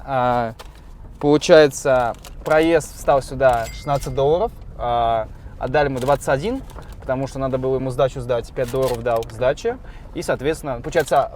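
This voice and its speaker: A man's voice: medium pitch, brisk, darkish tone. A man chatting casually in a travel vlog from a car, laughing often. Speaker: vlogger. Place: in a car outdoors. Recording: noisy car and road background.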